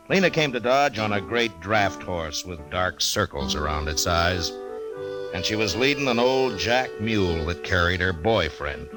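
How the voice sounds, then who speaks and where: An actor playing a dry, world-weary American frontier marshal, laconic and understated, in a 1950s radio western. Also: male voice, low-pitched.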